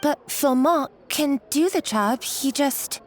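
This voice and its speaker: An actress playing a meek, flustered kitchen worker in a video game, hesitant and stammering. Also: female voice, sits high, slow, faint music behind.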